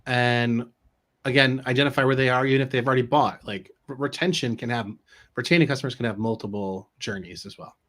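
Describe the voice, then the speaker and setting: A man's voice: mid-range voice, fairly even intonation, fairly quick, a bit bright. A middle-aged man talks casually as co-host of a business live stream. He speaks informally and keeps tossing in little check-in questions. He is on a video call. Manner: informal, peppered with rhetorical check-ins. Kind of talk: casual conversational advice.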